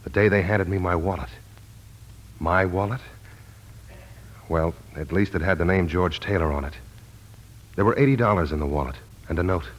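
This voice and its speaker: An actor playing a wary, troubled amnesiac war veteran who narrates in a terse, hardboiled 1940s radio style. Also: male voice, low voice, moderate pace, pauses often. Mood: brooding. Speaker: lead actor and first-person narrator. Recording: 1940s radio broadcast with music.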